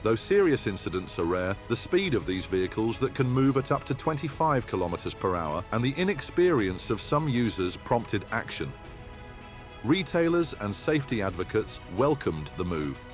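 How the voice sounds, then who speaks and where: A man presenting a weekly local news bulletin in a measured, even newsreader delivery. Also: on the low side, faint music behind. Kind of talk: scripted news narration.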